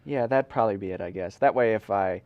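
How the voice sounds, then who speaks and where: A man's voice: on the low side, fast, clean tone, no echo, phone-quality sound. A man co-hosting a show in casual, joking conversation. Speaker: podcast co-host. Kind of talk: casual comic chat.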